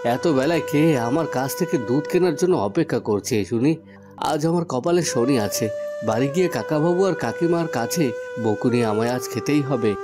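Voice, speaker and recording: A man's voice: mid-range voice, animated, moderate pace, lightly acted. A man voicing a good-natured, honest young village milk seller in a cartoon. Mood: worried. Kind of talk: cartoon voice acting. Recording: music and birdsong behind the voice.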